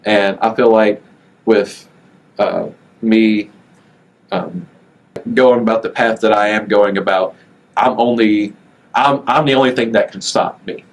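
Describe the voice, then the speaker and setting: A nineteen-year-old man talking as a guest on a podcast, relaxed, often pausing with fillers and restarting his sentences. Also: lowish voice, gravelly, slight background noise, rough recording. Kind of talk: casual interview chat.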